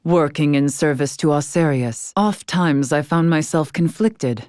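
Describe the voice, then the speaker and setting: An actress playing a dignified, stern, scholarly woman in a fantasy video game, speaking in formal, archaic, measured phrases. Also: sits low, a little mellow, dry recording, clean studio sound. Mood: solemn. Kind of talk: scripted video game character dialogue.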